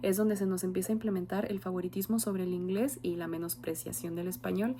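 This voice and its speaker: A woman's voice: on the low side, fairly even intonation, fairly quick, warm tone, slight background noise. A young woman with a Mexican accent, a university student giving an earnest, reflective oral presentation with frequent hesitation fillers. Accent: Mexican Spanish.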